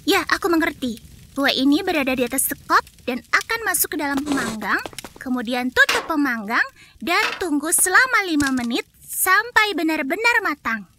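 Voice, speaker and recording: A woman voicing a naive, trusting little girl who sounds small and wide-eyed, asking questions in an animated cartoon dub. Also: sits high, moderate pace, noisy background. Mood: curious. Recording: music under the dialogue.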